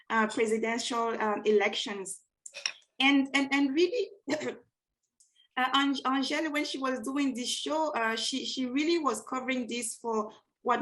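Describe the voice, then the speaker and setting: A woman's voice: on the high side, fairly bright tone, rough recording. A woman hosting a networking event, introducing a guest speaker in an enthusiastic, emphatic way. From Cameroon and France. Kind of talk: welcome speech at an online networking event.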